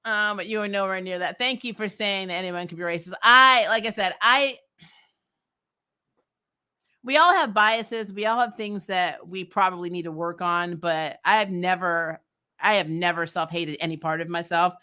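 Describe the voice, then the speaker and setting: Bright-voiced, blunt woman host. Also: fairly low pitch, normal speed, smooth. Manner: animated, emphatic, blunt, swears freely. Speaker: host of a TV show recap vlog. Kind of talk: casual opinionated chat, answering viewer comments.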